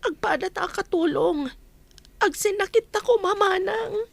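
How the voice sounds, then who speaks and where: A woman's voice: extremely high pitch, measured pace, vocal fry, dry recording, exaggerated voice. A woman playing a distressed, pleading young housemaid in a melodramatic audio drama. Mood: hurt, pleading.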